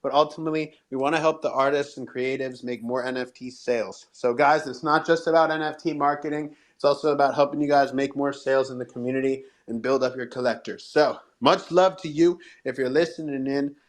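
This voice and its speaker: A man's voice: medium pitch, steady tone, brisk, few pauses. Upbeat man hosting a community audio show. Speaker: live audio show host.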